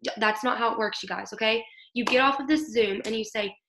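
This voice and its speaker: A young woman with a hoarse, worn voice coaches her team through an upbeat pep talk, checking in with them every few sentences. Her voice sits a little high but grates with fry. The call sounds like it was picked up in an echoey room. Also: a little fast, fairly bright tone, a little hiss or hum. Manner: upbeat, coaching, keeps asking "okay?". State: losing her voice from illness. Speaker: team leader. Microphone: video call.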